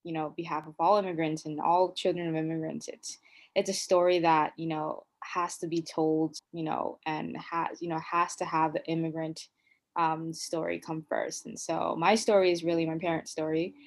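A woman talks through her own story on a podcast, in a slightly low voice. She is reflective and unhurried, pausing often on "um" and "you know" and restarting her sentences as she gathers her thoughts. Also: little pitch movement. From United States. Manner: reflective, many fillers and restarts. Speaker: podcast co-host. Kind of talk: casual conversational storytelling.

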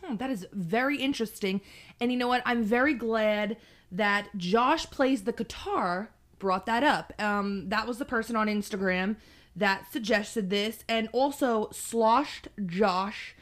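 A woman's voice: a little high, unhurried. A woman in her twenties chatting casually as a podcast co-host, agreeable and a little hesitant. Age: 20s. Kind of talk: casual chat.

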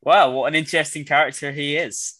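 Male podcast host, nasal from a cold, upbeat banter, bright higher voice, dry close mic. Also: steady tone, brisk, no echo. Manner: upbeat, jokey, laughs often. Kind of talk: casual chat.

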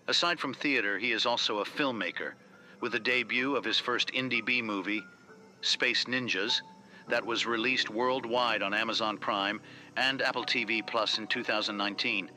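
Bright, forceful man reading an introduction. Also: medium pitch. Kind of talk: scripted introduction narration.

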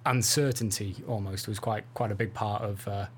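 A man's voice: on the low side, steady tone, normal speed, a little hiss or hum. A man hosting a casual, conversational interview, asking questions and chatting along. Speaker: host, interviewer.

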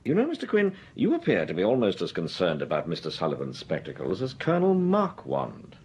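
A cool, ironic amateur detective in a vintage radio play. His voice has a husky edge and rises and falls a lot as he talks. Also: low-pitched, huge pitch swings, fairly quick, darkish tone, dull audio, heavily acted. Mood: dry. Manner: dry, ironic. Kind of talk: 1940s radio acting.

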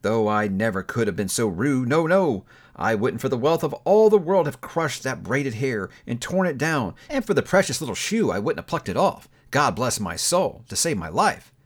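A man narrating a classic story aloud in an expressive audiobook reading style. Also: mid-range voice, normal speed, hardly any pauses. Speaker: book reviewer reading aloud.

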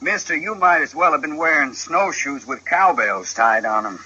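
Raspy, surly frontier townsman. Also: fairly high pitch, lively intonation, normal speed, sharp tone, heavily acted. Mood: annoyed, mocking. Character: surly, mocking man in a frontier town. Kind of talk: old-time radio western acting. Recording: dull old radio recording.

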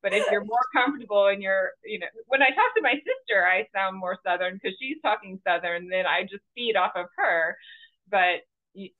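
A woman from Georgia hosting a podcast interview, friendly and quick to laugh. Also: mid-range voice. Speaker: podcast host. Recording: tinny remote call line.

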